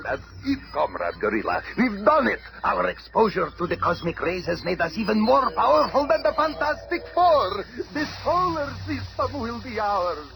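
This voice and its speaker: An actor playing a pompous, gloating mad-scientist villain in a 1970s superhero radio drama. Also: male voice, high voice, big pitch swings, moderate pace, vocal fry, hardly any pauses, over a noisy crowd, exaggerated voice, low-quality recording. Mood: triumphant. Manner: pompous, menacing. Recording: loud music bed, old radio show.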